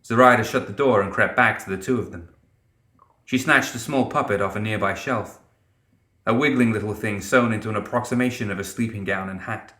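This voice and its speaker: A man's voice: lowish voice, fairly quick, bright, forceful tone, echoey room. A man reading fantasy fiction as a dramatic scripted narration. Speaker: audiobook narrator.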